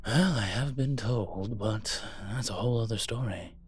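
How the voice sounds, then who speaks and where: A man playing a suave, teasing demon professor in an audio roleplay, with a wry, conversational delivery and a husky voice. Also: lowish voice, moderate pace, a little hiss or hum, lightly acted. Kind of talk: intimate audio roleplay acting.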